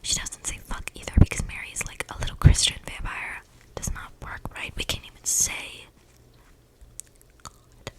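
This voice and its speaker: A woman voicing an eccentric, flippant young vampire in a breathy ASMR whisper, rambling in casual slang close to the microphone. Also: moderate pace, natural voice, rough recording. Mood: chatty, confiding. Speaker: ASMR roleplayer. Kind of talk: whispered ASMR storytelling roleplay. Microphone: close microphone picking up breaths.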